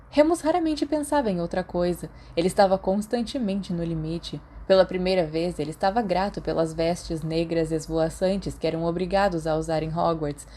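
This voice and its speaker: A woman's voice: on the low side, dark tone. A woman with a Brazilian accent reading a story aloud as scripted audiobook narration.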